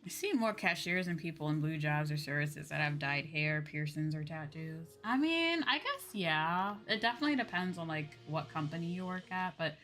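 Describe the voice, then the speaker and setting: A woman livestreamer chatting casually while she plays, sometimes musing aloud in a drawn-out, sing-song way. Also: low voice, lively intonation, fairly bright tone. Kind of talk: casual game-stream chat. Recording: music behind. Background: game music.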